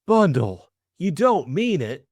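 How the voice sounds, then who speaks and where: A man's voice: a little high, sing-song, slow, a little mellow, no room sound, studio quality, pitched above the performer's own voice, exaggerated voice. A man doing a stammering lovestruck young Englishman. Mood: overwhelmed. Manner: stammering, breathless and eager.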